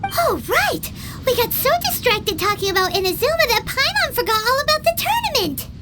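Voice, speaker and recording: A woman voicing a tiny, chirpy female fairy companion who talks in an excitable, squeaky way, in video game voice acting. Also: brisk, heavy background noise. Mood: curious. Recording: game music underneath.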